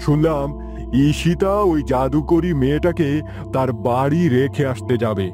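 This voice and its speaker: A man's voice: on the high side, measured pace, warm tone, soft background music, lightly acted. A man playing a brooding, protective king in a dramatic, commanding cartoon style. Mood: grave, commanding. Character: brooding, protective king haunted by losing his daughter.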